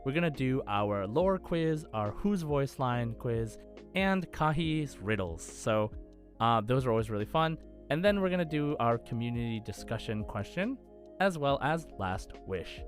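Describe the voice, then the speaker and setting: A man's voice: mid-range voice, big pitch swings, unhurried, faint music behind. A man hosting a podcast in a casual, chatty style with an upbeat, enthusiastic manner. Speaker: podcast host.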